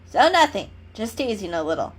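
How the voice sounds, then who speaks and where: A woman's voice: medium pitch, very animated, brisk, slightly rough, low-quality recording. A woman voicing a teasing, mischievous gray male cat in a storybook, with a casual, cheeky manner. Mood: teasing, curious.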